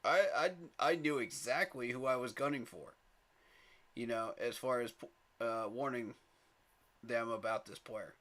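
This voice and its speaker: A man's voice: medium pitch, a little slow, a bit bright. Man pleading on a fan stream. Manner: pleading, emphatic, chuckles at rivals. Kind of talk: live stream commentary.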